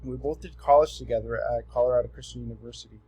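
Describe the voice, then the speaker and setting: Mellow, soft-edged young man from Southern California. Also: medium pitch, fast, husky, rough recording. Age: 20s. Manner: relaxed and earnest, with frequent ums and restarts. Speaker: student presenting himself. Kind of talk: casual self-introduction for a class assignment.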